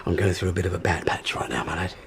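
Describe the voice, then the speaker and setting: An actor playing a desperate middle-aged uncle in an audio drama, blustering and wheedling behind a forced joviality. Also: male voice, low voice, very fast, vocal fry, slight background noise. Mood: desperate, pleading.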